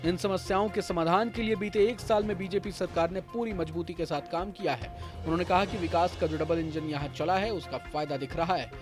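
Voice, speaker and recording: A man's voice: sits high, a little fast, hardly any pauses. A man reading a scripted news voice-over as a narrator. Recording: music bed under voice. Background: prominent background music.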